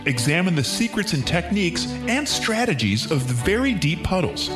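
A man playing an earnest voice-over announcer, delivering promo copy in a grandiose, deliberate movie-trailer style for audio comedy. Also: a little high, big pitch swings, over music. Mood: grand, enthusiastic. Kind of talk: dramatic movie-trailer narration.

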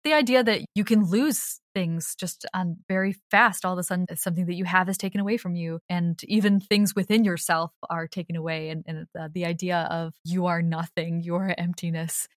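A woman's voice: on the low side, steady tone, fairly quick, hardly any pauses, dry recording, studio quality. A woman speaking as a podcast guest and audio drama creator in a casual, conversational interview.

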